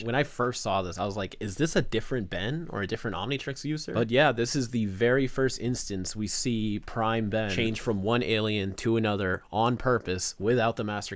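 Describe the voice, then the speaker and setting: Man, lowish voice, casual review commentary, analytical, detail-focused. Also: background noise. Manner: analytical, attentive to small details.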